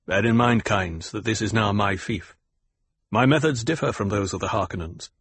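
A man voicing a calm, shrewd noble duke in an audiobook, with measured, courteous authority. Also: fairly low pitch, husky. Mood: probing, cool. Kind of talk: audiobook character acting.

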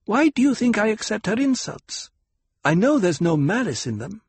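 A fierce, protective desert nursemaid who speaks loud and scolding in a raised voice, as a man narrating the storybook does her. Her tone is husky, and the reading is close and dry. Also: high-pitched, sing-song, normal speed, dry recording, voice pushed up, heavily acted. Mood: proud, insistent. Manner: forceful and scolding.

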